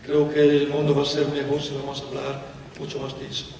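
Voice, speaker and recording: A man from Italy with an Italian accent, speaking on a conference panel and pausing to search for words. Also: medium pitch, completely flat delivery, distant mic, noisy background. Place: large conference hall. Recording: echoey hall, voice from afar.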